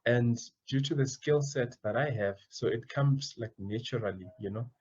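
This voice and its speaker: A man's voice: medium pitch, moderate pace, darkish tone, slightly rough, highs cut off. A young man answering interview questions about his path into business, earnest and often repeating words as he searches for phrasing. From South Africa. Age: young adult. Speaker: guest, student entrepreneur.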